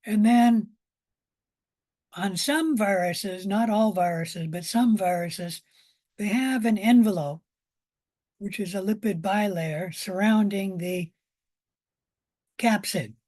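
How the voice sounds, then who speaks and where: Female college lecturer, hoarse, unhurried, mid-pitched, informal explanatory delivery. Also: darkish tone. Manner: unhurried explaining with pauses and repetition.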